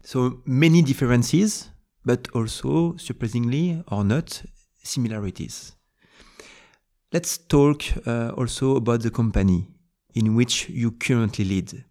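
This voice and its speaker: A man's voice: mid-range voice, a little slow, mellow. Formal man reading interview questions. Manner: formal, reads prepared questions. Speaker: podcast host.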